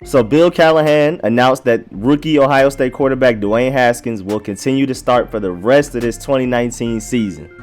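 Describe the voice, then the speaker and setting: Hoarse young man talking sports. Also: medium pitch, normal speed, hardly any pauses, soft background music. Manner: slangy, chatty, emphatic. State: hoarse from a cold. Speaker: sports show host. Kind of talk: casual sports commentary.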